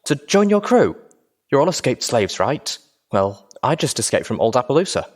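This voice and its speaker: A man's voice: mid-range voice, big pitch swings, normal speed, voice pushed up. A man voicing a small young female unicorn adventurer, nervous and hesitant, in a storybook reading. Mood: nervous bluff. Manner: stammering, hesitant. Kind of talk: audiobook character dialogue.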